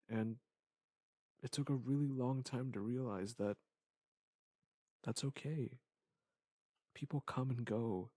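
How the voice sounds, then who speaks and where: A man acting a wistful former lover in an intimate scripted monologue, speaking in a halting, reflective way with a husky, gravelly voice. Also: on the low side, dark tone, no echo.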